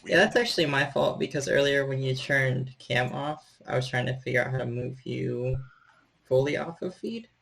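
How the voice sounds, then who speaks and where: A man with a gravelly, husky voice acts as game master on an online call. He talks casually and slips into improvised scene narration. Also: mid-range voice, moderate pace, fairly bright tone. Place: online group video call.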